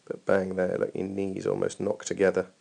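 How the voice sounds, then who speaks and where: A man's voice: low-pitched, monotone, normal speed, vocal fry, dark tone. A man giving informal running technique feedback over a training video as a coach, thinking aloud in a hesitant way.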